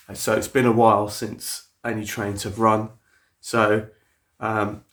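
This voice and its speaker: A man's voice: on the low side, fairly bright tone. Sniffly man rambling through his model layout. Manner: rambling, many fillers and restarts. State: sniffly with a cold. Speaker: model railway hobbyist showing his layout. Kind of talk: casual walkthrough video. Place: indoor hobby room with the layout.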